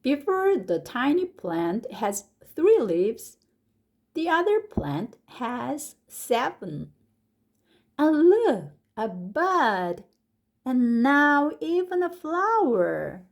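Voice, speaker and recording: Slow, animated storybook-reading woman with a Korean accent. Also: high-pitched. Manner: slow and deliberate, stretching words, with lively rises on exclamations. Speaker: English picture-book reader and teacher. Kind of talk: slow, expressive storybook read-aloud.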